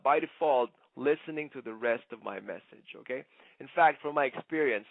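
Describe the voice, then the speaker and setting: A man giving an instructional sales presentation like a trainer, conversational but emphatic, often checking in with a quick "okay?". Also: mid-range voice, creaky, no echo. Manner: conversational, punctuates points with "okay?". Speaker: network marketing trainer. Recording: muffled, narrow phone-like sound.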